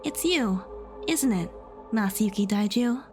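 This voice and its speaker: A woman's voice: mid-range voice, lively intonation, a little slow, a little mellow, faint music behind, natural voice. A woman playing an earnest young student in an audio drama, reasoning insistently and emphatically. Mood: resolved, deducing. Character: earnest young female student defending the accused.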